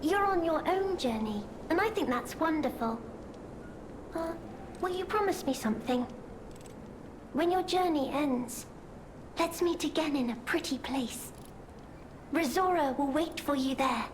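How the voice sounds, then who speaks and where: A woman playing a gentle, childlike female automaton in a video game, innocent and wistful, speaking with earnest, tender simplicity. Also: sits high, a little slow, long pauses, background noise. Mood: tender, hopeful.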